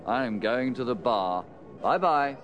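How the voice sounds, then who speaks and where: Male actor as a happy-go-lucky driver, extremely slow, swooping lively pitch. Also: highs cut off, over music. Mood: cheerful. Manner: very slow, deliberate textbook delivery. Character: cheerful Dutch male driver in his thirties. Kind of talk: scripted language course dialogue.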